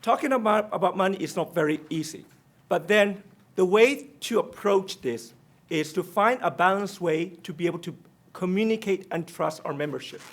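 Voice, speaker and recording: A man's voice: high-pitched, moderate pace. A man from Taiwan with a Taiwanese accent, speaking as a union treasurer candidate in a debate, direct and pointed. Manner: non-native phrasing, direct and pointed. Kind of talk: debate statement.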